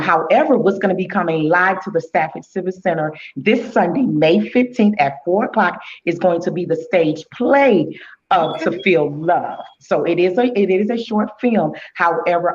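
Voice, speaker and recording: Lady actor guest, lower voice, plugging her play, muffled lo-fi sound. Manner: clear, promotional, informative. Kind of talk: guest interview.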